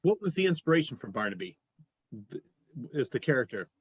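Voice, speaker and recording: A man's voice: medium pitch, big pitch swings, fairly quick, husky, no room sound. A man hosting a casual interview, putting questions to a guest in a conversational way. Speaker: interviewer and show host.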